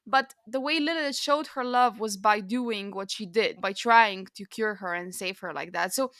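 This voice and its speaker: A woman's voice: medium pitch, normal speed, a bit bright, dry recording, clean studio sound. A woman co-hosting a podcast, chatting casually and expressively about books. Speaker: podcast co-host. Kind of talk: casual book discussion.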